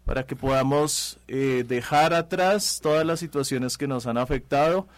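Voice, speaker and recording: A calm man, his voice in a middle range and recorded very dry and close. He speaks earnestly, pausing often with soft hesitations. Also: mid-range voice, no room sound. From Colombia. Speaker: guest, ceremony leader.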